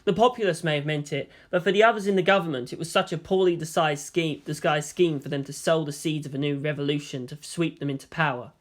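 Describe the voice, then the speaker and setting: A man's voice: on the high side, quick pace, hardly any pauses. A man reading a story aloud as scripted narration. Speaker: fan fiction reader.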